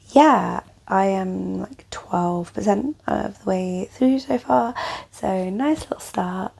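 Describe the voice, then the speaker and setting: Young woman, croaky and tired, mellow low-lit tone, rambling casual book chat to camera. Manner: chatty, rambling, lots of fillers. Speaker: book vlogger.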